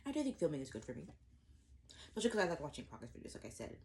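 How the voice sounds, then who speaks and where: A woman's voice: sits low, very animated, very fast, darkish tone. A woman in her twenties chatting casually to camera while eating breakfast at home, rambling and self-deprecating with lots of fillers and restarts. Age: 20s. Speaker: vlogger. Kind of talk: casual eat-with-me chat to camera.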